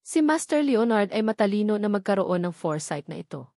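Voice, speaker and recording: A woman's voice: mid-range voice, sing-song, fairly quick, mellow, dry recording, clean studio sound. A woman reading a novel aloud as scripted narration. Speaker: audiobook narrator.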